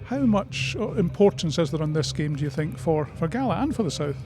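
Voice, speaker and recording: A man's voice: fairly high pitch, lively intonation, a little fast, music in the background. A man hosting a live sports radio interview outdoors, asking probing questions in a conversational way.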